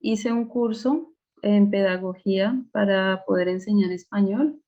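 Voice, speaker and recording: A woman, a Spanish teacher, introducing herself calmly on a video call with filler pauses. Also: medium pitch. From Colombia. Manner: calm, unhurried, filler pauses. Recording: dull lo-fi call audio.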